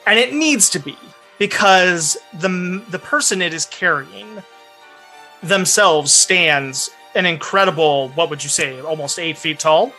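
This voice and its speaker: A man narrating a tabletop fantasy game as game master, with deliberate, dramatic phrasing. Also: on the high side, pushed and bright. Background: background music.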